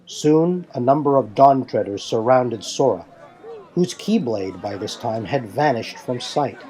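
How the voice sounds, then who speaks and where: A man's voice: mid-range voice, dark tone, poor audio. A man reading scripted fantasy narration in a formal, dramatic storytelling manner. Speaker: narrator and reader.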